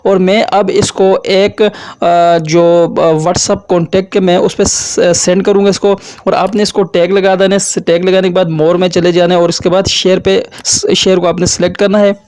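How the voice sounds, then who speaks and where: A man's voice: high-pitched, level tone, moderate pace, a little mellow, dry recording. A man narrating a step-by-step app tutorial as its host.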